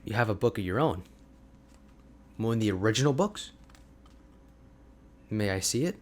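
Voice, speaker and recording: A man playing an energetic, friendly young ice mage mentor in an ASMR roleplay, talking to the listener in an animated, informal, chatty way. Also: lowish voice, moderate pace, close to an everyday voice. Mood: amazed. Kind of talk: ASMR roleplay audio drama, addressing the listener.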